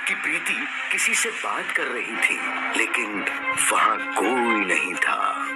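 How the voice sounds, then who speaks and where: A man narrates a horror cartoon tale with dramatic suspense. His delivery is slow and animated, with loud music behind it. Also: fairly low pitch.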